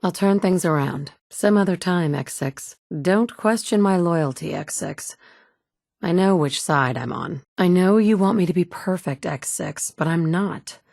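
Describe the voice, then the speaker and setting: A woman's voice: fairly low pitch, vocal fry, a little mellow, no echo, clean studio sound. An actress voicing a tough, blunt woman survivor, the player character in a game, who is curt and assertive. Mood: firm. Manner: curt and assertive, quick to snap back. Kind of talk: game voice lines.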